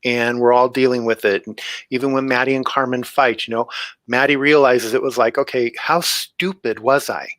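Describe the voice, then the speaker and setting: A man's voice: medium pitch, steady tone, normal speed, a bit bright, no room sound. A man speaking as a guest author in a casual interview, earnest and repeating himself for emphasis.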